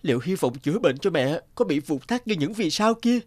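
A man's voice: a little high, lively intonation, brisk, natural voice. A young man voicing an earnest young violinist. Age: young adult. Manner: earnest, polite. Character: devoted young male violinist.

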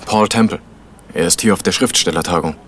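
Fast-talking, evasive young man. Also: sits low, fairly bright tone, slight background noise. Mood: uneasy. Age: young adult. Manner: terse, formal, defensive. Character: young man, tense, impulsive, evasive small-time schemer. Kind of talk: 1970s German radio drama acting.

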